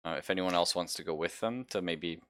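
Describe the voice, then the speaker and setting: Low-voiced male game master, bright and dry. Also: a little fast, smooth, dry recording. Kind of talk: casual live tabletop roleplay narration.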